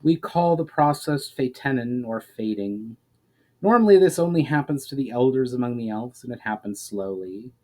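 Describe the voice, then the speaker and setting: A man voicing a grave, ancient elf in a fantasy storybook reading, speaking in a measured, formal, explanatory way. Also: medium pitch, lively intonation, mellow, natural voice, poor audio. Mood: solemn.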